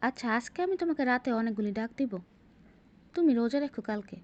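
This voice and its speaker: Patient cartoon mother, played by a woman, Bangladeshi accent, high voice, quick delivery, coaxing a small child. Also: expressive, dull audio, lightly acted. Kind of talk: amateur cartoon voice acting.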